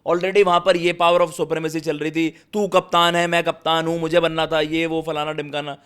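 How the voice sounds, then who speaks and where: A man's voice: on the high side, flat delivery, moderate pace, a bit bright, clear, resonant tone. A man giving opinions as a panelist in a casual cricket debate, colloquial and slangy, mixing in English words. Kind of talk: casual sports debate chat.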